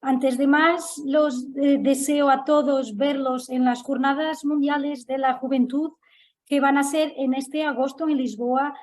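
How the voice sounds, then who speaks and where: A woman from Portugal speaking with a Portuguese accent, giving a halting panel talk full of fillers and restarts. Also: sits high, flat delivery. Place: Lisbon.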